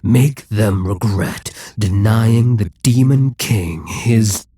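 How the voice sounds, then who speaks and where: A man playing an immortal Demon King in an ASMR roleplay, cold, calculating and condescending, with a husky, commanding delivery. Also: lowish voice, slow pace, mellow, dry recording, studio quality. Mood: cold, domineering. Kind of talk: ASMR audio roleplay, dramatic monologue.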